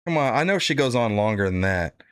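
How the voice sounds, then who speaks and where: A man's voice: lowish voice, expressive, brisk, smooth, dry recording, studio quality. A man hosting casual political reaction commentary, blunt and profane, rambling with frequent "right?" tags.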